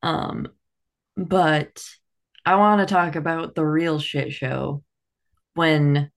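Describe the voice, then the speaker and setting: A woman's voice: sits low, fairly even intonation, takes it slowly, vocal fry. A woman co-hosting a book podcast, chatting casually and candidly, peppering her sentences with "like". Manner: conversational, heavy use of "like", candid.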